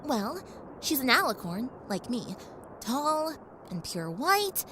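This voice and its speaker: A woman's voice: high-pitched, sing-song, slow pace, pushed and bright, slight background noise, full character voice. A woman playing a young pony princess in an audio drama, polite, playful and earnest, with a friendly, slightly grand manner. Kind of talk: audio drama character acting. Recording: faint background ambience.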